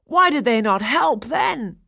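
A woman voicing a gentle, passionate young male shepherd in a read-aloud storybook, moving from tender whispers to anguished cries. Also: sits low, moderate pace, creaky, slightly rough, no echo, clean studio sound, heavily acted. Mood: anguished outcry.

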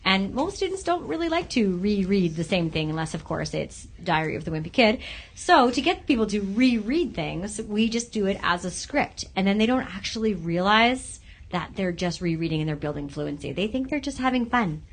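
A woman in her thirties speaks as a schoolteacher being interviewed. Her voice sits at an average pitch for a woman. She gives explanations in plain, simple language that young listeners can follow. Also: very animated, moderate pace, husky. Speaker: grade five teacher, podcast guest.

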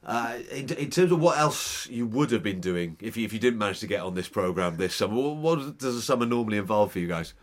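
A man's voice: fairly low pitch, expressive, fast, few pauses. Radio host guy in a studio, chatty, trips over his words a lot, jokey. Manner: self-deprecating, chatty, stumbles over repeated words. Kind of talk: radio interview. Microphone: studio microphone.

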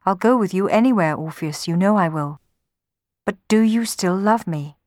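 A woman's voice: medium pitch, normal speed, darkish tone, no room sound, clean studio sound, close to an everyday voice. A woman voicing a hurt young wife in a Greek myth storybook, plaintive and reproachful. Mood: touched, questioning.

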